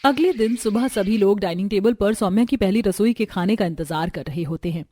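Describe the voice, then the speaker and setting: A woman's voice: medium pitch, brisk, dark tone. A woman narrator reading a scripted cartoon family story. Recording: music behind the voice.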